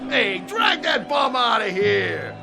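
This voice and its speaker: A jovial, streetwise boxing manager in a radio drama. His voice is very high, lively and crackly with fry. He talks in emphatic, folksy slang and is fiercely proud of his fighter, with music behind him. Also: slow, raised voice, a crowd in the background, full character voice. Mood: excited, barking.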